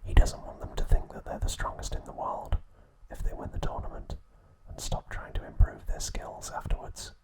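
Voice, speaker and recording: A man whispering a scripted recap as an ASMR host, in an unvoiced, breathy whisper with slow, measured phrasing.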